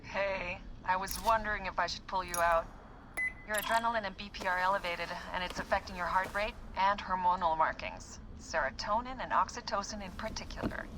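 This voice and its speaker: A woman's voice: medium pitch, a little fast, noisy background, lightly acted. An actress playing an anxious, cautious young female technician who stammers when worried. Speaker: lab technician.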